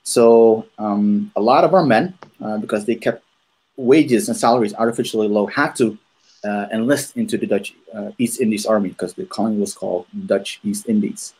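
A man in his thirties from Maluku, hosting a podcast over a video call, telling his story at an unhurried pace with many ums and restarts. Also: on the low side, darkish tone, husky, low-quality recording. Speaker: podcast host. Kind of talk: casual conversational storytelling. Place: room at home, on a video call. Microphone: earphones with a desk microphone.

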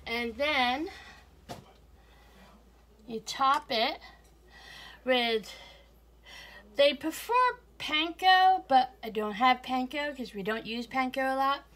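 Slow, homey woman cooking in her kitchen. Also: high-pitched, fairly bright tone. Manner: homey, unhurried, chuckles often. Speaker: home cooking vlogger. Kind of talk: casual cooking demonstration. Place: home kitchen, indoors. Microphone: fixed camera at counter, speaker moving around.

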